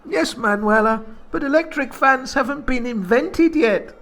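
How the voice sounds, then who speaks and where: A man's voice: extremely high pitch, a little slow, a bit bright, pitched above the performer's own voice, full character voice. A man playing an amorous Spanish peasant who playfully pesters his sweetheart in a comic radio play. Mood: earnest.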